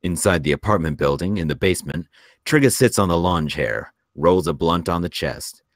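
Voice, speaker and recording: A man narrates a screenplay in a very deep voice. He reads out scene and action directions as scripted narration. The sound is dry, with little room. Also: animated, moderate pace, no echo. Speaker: narrator reading stage directions.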